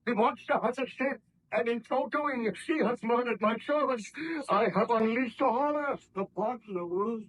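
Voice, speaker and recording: A man's voice: very high voice, normal speed, a bit bright, slightly rough, full character voice, low-quality recording. A man playing a guilt-ridden baron scientist in a radio drama, with formal, theatrical, earnest delivery. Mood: horrified, agitated. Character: tormented young baron scientist, guilt-ridden, prone to horror. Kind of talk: 1930s-style radio drama acting.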